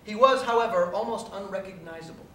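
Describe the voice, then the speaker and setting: A man gives a live dramatic reading of a first-person story on stage, in a measured, deliberate storytelling manner. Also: fairly bright tone. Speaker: reader performing a short story on stage. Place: theatre stage at a live cabaret, recorded from afar. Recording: echoey distant stage recording, faint hiss and rumble.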